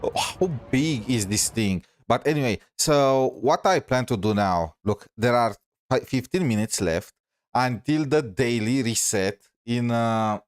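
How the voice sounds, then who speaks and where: A man's voice: medium pitch, a little slow, dry recording. A man giving casual live gameplay commentary as a streamer, talking in an informal, rambling, hesitant way. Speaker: game streamer.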